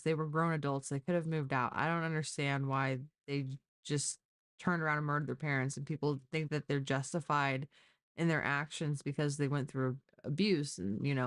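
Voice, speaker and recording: A woman with a low voice hosts a casual live stream. She talks informally and gives her opinions freely, and the sound is close and dry. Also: no room sound. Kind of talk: casual chat, true crime commentary.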